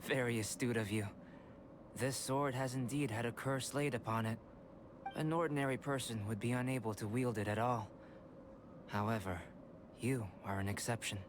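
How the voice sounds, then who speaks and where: A man playing a calm, aloof young alchemist. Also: medium pitch, heavy background noise, natural voice. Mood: composed. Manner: measured, precise and unhurried. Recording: game cutscene with music behind.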